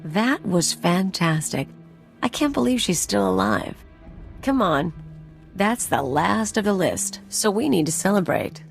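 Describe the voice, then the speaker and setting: A woman's voice: medium pitch, lively intonation, measured pace, creaky, faint music behind. A woman playing a brash, sardonic gangster sidekick in a video game, talking in cocky, casual slang. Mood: gleeful swagger. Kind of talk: video game companion dialogue acting.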